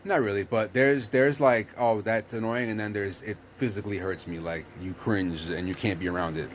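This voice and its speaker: A man's voice: lowish voice, fairly even intonation, moderate pace, slight background noise. A man hosting a casual chat, explaining earnestly while rambling and restarting his sentences.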